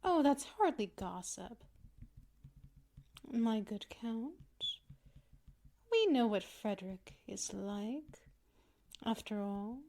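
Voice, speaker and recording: A woman's voice: on the high side, animated, slow, long pauses, dark tone. A woman playing a gracious, ambitious, secretive duchess in an ASMR roleplay, with a refined, courtly way of speaking. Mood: curious, amused.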